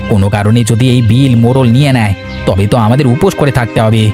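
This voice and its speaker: Man acting worried village farmer, cartoon, gossipy, fretful, folksy, middle pitch, music underneath. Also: fast, natural voice. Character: anxious village farmer, a gossipy worrying friend. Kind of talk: Bengali animated moral-tale voice acting. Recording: music bed behind the voice.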